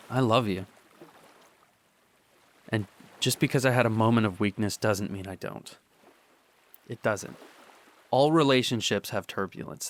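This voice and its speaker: A man's voice: on the low side, natural voice. A young man playing a guilt-ridden teenage boy confessing and pleading in a radio drama. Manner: earnest confession, repeats denials.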